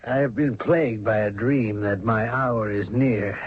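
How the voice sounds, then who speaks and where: An actor playing a cold-blooded, scheming royal courtier in a 1970s radio drama, persuasive and deliberate. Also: male voice, on the low side, husky. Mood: smooth goading. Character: scheming, cold-blooded male courtier plotting murder of the pharaoh. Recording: narrow, tinny 1970s radio recording.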